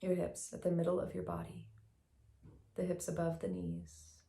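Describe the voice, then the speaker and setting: A woman guiding a meditation as a teacher, speaking in a gentle, soothing, unhurried way with long pauses, in a slightly echoey room. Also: on the low side, completely flat delivery, creaky. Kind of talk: guided meditation, soft instructional narration.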